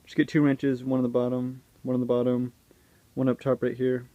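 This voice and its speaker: A man's voice: mid-range voice, monotone, a little fast, no echo, dull audio. A man gives casual, hands-on how-to instruction in an informal, offhand way, recorded in and around a car. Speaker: do-it-yourself video presenter. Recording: clearly noisy car, handling clicks.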